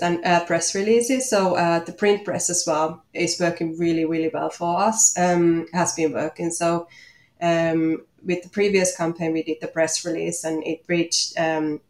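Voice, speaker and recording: A woman with a Finnish accent answering interview questions as a guest, speaking in a hesitant, halting way. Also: lowish voice, fairly even intonation, darkish tone. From Finland. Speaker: interview guest, watchmaker and small business owner. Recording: echoey room.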